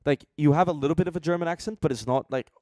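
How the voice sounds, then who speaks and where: A man's voice: on the high side, racing, dark tone, no echo, studio quality. A man from Denmark chatting casually as a co-host of a reaction show, with a blunt, joking, sweary manner. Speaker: co-host reviewing beatbox competition entries.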